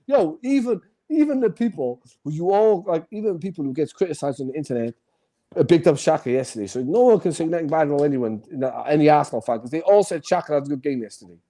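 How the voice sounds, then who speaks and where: Quick london guy, warm mellow tone, jokes around and hypes his players. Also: fairly high pitch, animated. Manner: joking, boastful, repeats himself emphatically. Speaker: fan channel host. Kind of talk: casual football fan chat.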